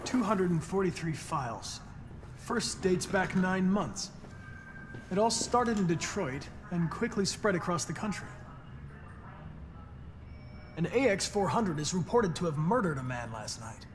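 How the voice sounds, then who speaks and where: An actor playing a polite, eager android police detective in a video game, speaking in formal, measured phrases. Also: male voice, high-pitched, lively intonation, big-room sound, slight background noise. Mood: businesslike. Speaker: police investigator.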